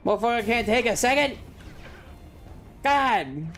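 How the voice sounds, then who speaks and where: A man's voice: very high-pitched, lively intonation, unhurried, fairly bright tone. A man casually commentating a video game on a livestream and chatting with viewers, sweary and dramatically exasperated. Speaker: streamer. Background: video game music.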